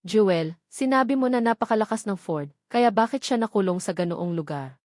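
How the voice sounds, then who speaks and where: A woman's voice: mid-range voice, lively intonation, normal speed, darkish tone, no room sound, studio quality, lightly acted. A woman voicing a modest, curious young male martial hero in an audiobook.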